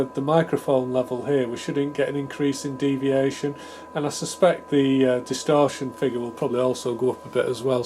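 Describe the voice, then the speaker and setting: Male hobbyist presenter, mid-pitched, improvised technical walkthrough, tentative and thinking out loud, audible hum and noise in the recording. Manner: thinking aloud, tentative, self-deprecating. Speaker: hobbyist radio repair presenter. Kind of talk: casual, improvised technical demonstration. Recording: clear background noise with hum.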